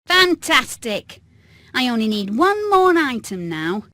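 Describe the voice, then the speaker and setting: Very high, swooping inventor hen. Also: female voice, big pitch swings, takes it slowly, pushed and bright, no room sound, clean studio sound, full character voice. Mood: enthusiastic. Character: brainy inventor hen, cheerful engineer.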